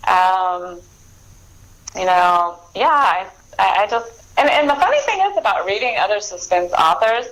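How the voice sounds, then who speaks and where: A woman's voice: medium pitch, animated, fairly bright tone, poor audio. A middle-aged woman speaking as an author guest in a casual interview, chatty, often doubling back and correcting herself. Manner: chatty, halting and self-correcting.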